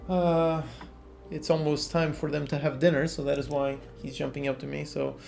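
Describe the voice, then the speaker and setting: Gravelly Portuguese-accented man streaming. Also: a little high, normal speed. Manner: relaxed, reads chat questions aloud and answers frankly. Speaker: game developer livestreaming. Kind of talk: casual livestream chat while working. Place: home office, indoors. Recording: quiet lofi music underneath.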